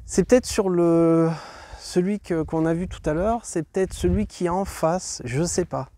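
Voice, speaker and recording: Guy with a head cold doing a walking tour vlog outside, lots of ums and restarts, warm mellow voice. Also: sits high, normal speed. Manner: rambling, hesitant, many fillers and restarts. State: congested from a cold. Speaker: travel vlog host. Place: outdoors at an archaeological site.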